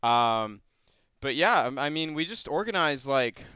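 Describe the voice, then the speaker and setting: A man's voice: mid-range voice, lively intonation, unhurried, pushed and bright, clean tone, dry recording, clean studio sound. Young man, rambling and earnest. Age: young adult. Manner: rambling, many restarts, earnest and upbeat. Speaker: student club president, studio guest. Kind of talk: casual interview chat. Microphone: studio mic.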